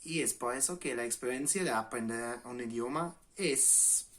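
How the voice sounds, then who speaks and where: A man in his late twenties from England, a native English speaker with an English accent, giving a relaxed spoken lesson to camera and pausing often to restart with fillers. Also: mid-range voice. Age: late 20s. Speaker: language channel host.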